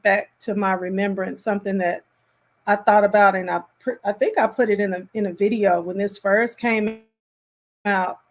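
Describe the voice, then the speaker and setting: A woman hosting a casual reaction commentary, speaking in an appalled, emphatic and halting way. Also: medium pitch, steady tone.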